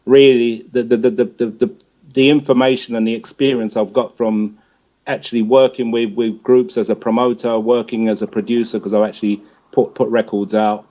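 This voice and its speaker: A man's voice: mid-range voice, little pitch movement, normal speed, dark tone, highs cut off. A middle-aged man giving a conversational interview answer as a guest, often repeating words as he feels his way along. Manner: hesitant, repeats words as he goes. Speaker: guest, music promoter and manager.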